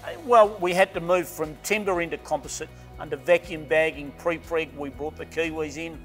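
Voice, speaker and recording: A man's voice: fairly high pitch, steady tone, moderate pace, a bit bright. A middle-aged Australian man who owns a boatbuilding firm, answering interview questions enthusiastically with many restarts and fillers. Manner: enthusiastic, rambling with restarts and "you know". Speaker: boatbuilding company owner. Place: boat factory workshop. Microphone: lapel mic. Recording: faint background music.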